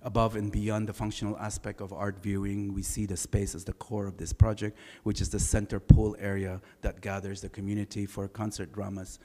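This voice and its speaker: A middle-aged man with a baritone voice giving a relaxed, anecdotal architecture lecture, often pausing on filler sounds. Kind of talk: conversational design lecture. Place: large lecture hall. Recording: reverberant lecture hall.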